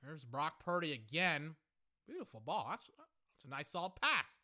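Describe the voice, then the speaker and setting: A young man hosting a podcast, reacting live while he watches, excitable and swearing freely, his voice going hoarse. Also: on the high side, normal speed. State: voice getting hoarse. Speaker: podcast host.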